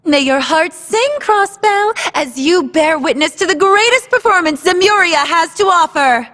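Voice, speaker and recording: Game role: graceful stage star, bright soaring voice, poetic grandeur, long hall reverb. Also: female voice, very high voice, expressive, measured pace, exaggerated voice. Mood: grand, inviting. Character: graceful female stage star greeting her audience. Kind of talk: theatrical stage announcement.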